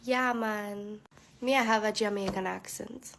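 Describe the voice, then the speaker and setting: A woman from Africa, chatting softly in a casual vlog. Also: medium pitch, steady tone, creaky, slight background noise. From South Africa. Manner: soft, trying out words slowly.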